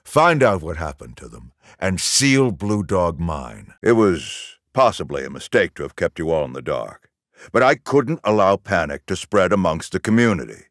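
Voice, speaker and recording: A stern, middle-aged general for a video game, issuing orders with clipped authority. His pitch moves widely as he briefs his troops, and the recording is close and dry. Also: dry recording. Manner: authoritative, clipped military delivery. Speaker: military commander character in a video game.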